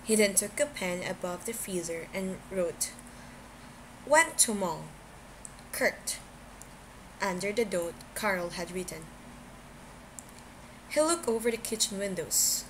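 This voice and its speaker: A woman's voice: mid-range voice, unhurried, low-quality recording. A woman narrates fiction in a mid-range voice. It sounds like an amateur home recording, with noise in the background. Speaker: amateur audiobook reader. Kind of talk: scripted story narration.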